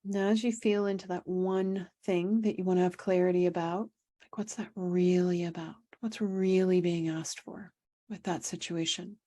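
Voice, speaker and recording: A woman guides a meditation in a very even, almost level voice. Her tone is mellow and relaxed, sitting a little low. She gives calm, unhurried instructions, pausing often and repeating phrases. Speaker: meditation guide and energy coach.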